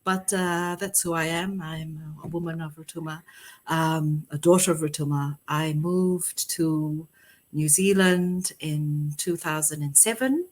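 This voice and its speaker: A middle-aged woman raised in Fiji speaks as an interview guest, her voice sitting a little low. She talks at a relaxed, reflective pace, breaking into easy laughter often. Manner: warm, laughs often, thoughtful pauses. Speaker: poet, podcast guest. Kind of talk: conversational interview.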